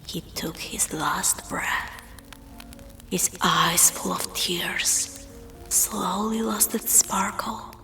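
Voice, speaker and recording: A woman narrating a scripted spooky story in a hushed, breathy, dramatic storytelling manner. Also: on the low side. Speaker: storyteller, voice performer. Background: music.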